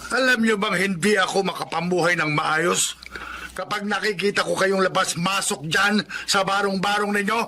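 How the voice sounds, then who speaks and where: Man acting as a high-pitched spiteful old neighbor. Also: steady tone, normal speed, pushed and bright, a little hiss or hum. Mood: angry, scornful. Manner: sneering, hot-tempered, contemptuous. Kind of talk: Filipino melodrama audio acting.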